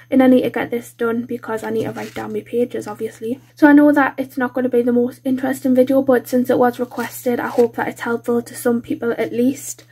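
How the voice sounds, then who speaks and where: A British woman speaks in a fairly high, even voice that is husky and congested from a cold. She rambles informally in a self-deprecating way, like a casual craft vlogger talking to viewers. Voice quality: congested, nasal. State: bunged-up nose from a cold. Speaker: colouring channel host. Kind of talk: casual chatty craft vlog.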